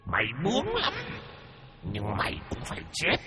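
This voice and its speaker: A man's voice: high voice, wildly swooping pitch, moderate pace, pushed and bright, faint music behind, pitched above the performer's own voice, full character voice. A man doing a hissing old female ghost. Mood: menacing. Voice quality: hissing, breathy whisper.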